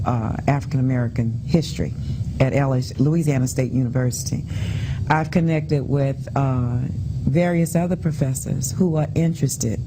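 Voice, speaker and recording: Low-voiced woman in a noisy room. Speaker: panel guest, historian and community advocate. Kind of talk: earnest interview talk. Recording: noisy room with background ambience.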